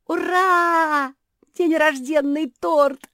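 A woman voicing an excitable, emotional little pug girl in a storybook, exclaiming breathlessly. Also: high voice, drawn-out words, no room sound, clean studio sound, voice pushed up, exaggerated voice. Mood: thrilled.

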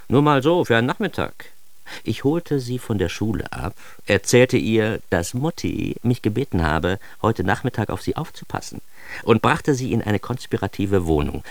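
An actor narrating a radio drama as a self-important, deadpan East German secret police officer. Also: male voice, on the low side, no echo. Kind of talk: scripted first-person radio drama narration.

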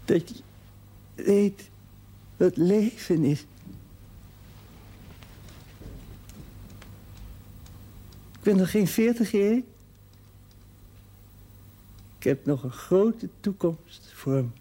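A gravely ill man, still under forty, in a television drama. He speaks very slowly in short, halting phrases. The voice is husky and mellow, tender and resigned to his end. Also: high-pitched, expressive, full character voice. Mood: defiant.

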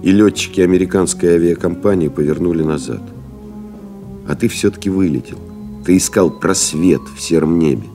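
An actor playing a pilot who recalls a lost comrade, reading solemnly, expressively and in measured literary phrases. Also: male voice, sits low. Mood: solemn, reflective. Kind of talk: dramatic literary reading in an audio play. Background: music behind the voice.

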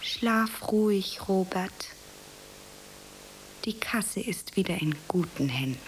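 Dreamy young actress, radio drama, melodramatic, swooping high voice, slow delivery. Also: female voice, a little hiss or hum, rough recording. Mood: mournful, theatrical. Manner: melodramatic, expressive. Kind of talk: German radio drama acting.

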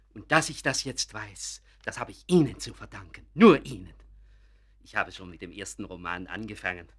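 A man's voice: mid-range voice, sharp tone. A man playing a fervent, idealistic amateur artist in a German radio play, effusive, solemn and self-important.

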